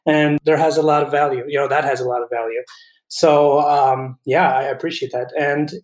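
A man's voice: mid-range voice, steady tone, moderate pace, roomy sound, low-quality recording. A man in his twenties from Colombia, a veterinary student answering interview questions in an earnest, thoughtful way with frequent restarts.

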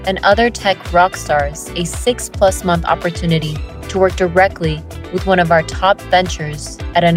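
Upbeat woman hosting a podcast. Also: lowish voice. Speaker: podcast host. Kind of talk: scripted intro then interview questions.

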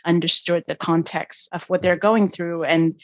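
A woman with a lowish voice, from Ethiopia, speaks as a guest on a podcast interview. She talks in an animated way and thinks aloud, leaning on fillers as she goes. The recording is very dry and close, with no room sound. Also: moderate pace, no echo. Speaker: guest, energy policy expert.

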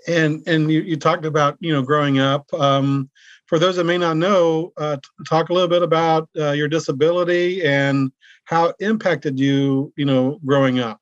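An American man hosting an interview podcast, reading a scripted intro and then asking questions with many pauses and restarts. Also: fairly high pitch, steady tone, no room sound, muffled recording. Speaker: podcast host.

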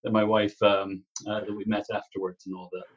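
A man's voice: fairly low pitch, level tone, a little fast, slightly rough. A man, a guest chatting casually and rambling with many restarts. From Texas. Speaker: guest, business coach. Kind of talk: casual interview chat. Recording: dull lo-fi remote line.

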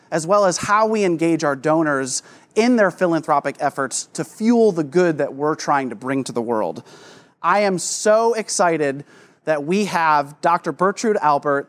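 Summit host, man, slightly high voice, upbeat scripted introduction. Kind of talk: formal introduction.

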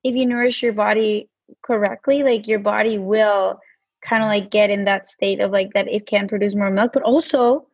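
A woman's voice: medium pitch, fairly even intonation, moderate pace, husky, dry recording. Casting note: Mexican-accented woman, easygoing chatty guest, dry and slightly muffled recording. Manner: chatty, many "like" fillers. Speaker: guest, brand co-founder. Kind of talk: casual podcast chat.

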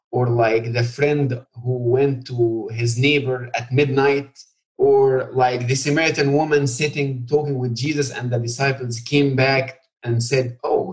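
Man from Egypt, middle-aged, podcast guest, earnest, halting with pauses, mid pitch. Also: poor audio. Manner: earnest, thoughtful, frequent "uh" pauses. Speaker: guest scholar. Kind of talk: podcast interview.

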